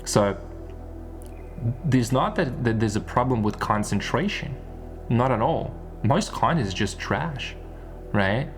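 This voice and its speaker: A man's voice: mid-range voice, lively intonation, takes it slowly, pauses often, faint music behind. A man giving an unscripted, rambling monologue as an online content creator, repeating phrases and posing rhetorical questions. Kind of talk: unscripted one-take monologue.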